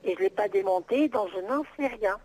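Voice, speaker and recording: A woman's voice: mid-range voice, normal speed, darkish tone. A middle-aged woman on an unscripted phone call, answering as a private seller, exasperated and insistent, repeating herself. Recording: noisy telephone call.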